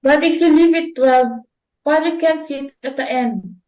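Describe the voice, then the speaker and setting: A woman's voice: sits high, unhurried, darkish tone, reverberant, poor audio. A woman reading the part of a young daughter in a student dramatic reading, speaking in a plaintive, pleading way. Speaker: student reader.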